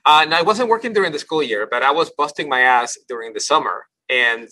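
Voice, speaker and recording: A man's voice: on the high side, animated, fairly quick, pushed and bright, poor audio. A man from Ecuador, a company CEO, telling his life story as a podcast guest, thoughtful, often restarting and repeating words. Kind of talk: casual interview storytelling.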